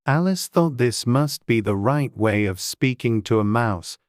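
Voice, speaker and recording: A woman's voice: very deep voice, animated, unhurried, darkish tone, dry recording, clean studio sound. A woman reading scripted storybook narration as an audiobook narrator.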